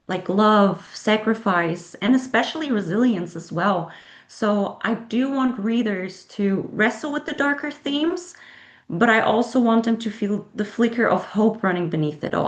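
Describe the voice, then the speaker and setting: A woman whose first language is German, an author answering interview questions thoughtfully, with frequent fillers and soft laughs. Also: medium pitch, lo-fi sound. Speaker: author guest.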